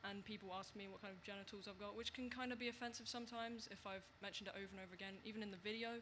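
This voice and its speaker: A man's voice: high voice, steady tone, racing, background noise. A young man speaking earnestly as a panelist in a casual panel discussion.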